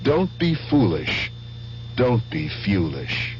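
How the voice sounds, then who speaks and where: A man's voice: fairly low pitch, big pitch swings, slow, soft background music. A man reading a scripted public service announcement for radio. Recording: dull old radio recording, faint noise.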